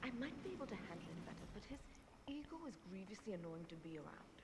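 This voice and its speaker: Low-voiced scornful queen. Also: animated, fast. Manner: scornful, regal. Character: haughty scheming queen, adult woman. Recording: game audio with ambient noise.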